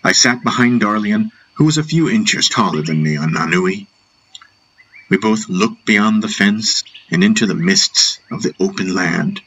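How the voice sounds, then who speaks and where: A man narrating a fantasy audiobook in a measured, dramatic storytelling style. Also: sits low, fairly bright tone, slight background noise, rough recording.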